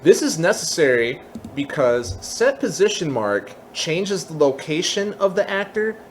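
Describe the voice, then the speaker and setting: A man giving a casual, explanatory software tutorial, thinking aloud informally as he goes step by step. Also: a little high, big pitch swings, a little slow, a little hiss or hum. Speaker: tutorial host.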